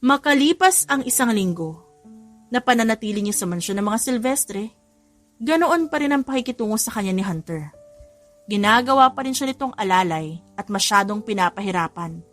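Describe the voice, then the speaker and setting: A woman's voice: mid-range voice, animated, normal speed, a bit bright. A woman giving a scripted, dramatic narration of a story as an audiobook narrator. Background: background music.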